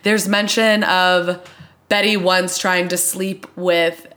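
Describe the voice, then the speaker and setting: A woman's voice: fairly low pitch, level tone, slow pace, fairly bright tone. A woman hosting a casual chat, talking informally and explaining things as she goes. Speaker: podcast host.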